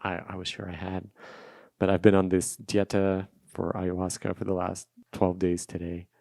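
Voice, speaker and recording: A man's voice: sits low, monotone, normal speed, creaky, mellow, dry recording. A man whose native language is Russian, telling a personal story in an unscripted solo podcast, reflective and repeating phrases for emphasis. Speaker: podcast host.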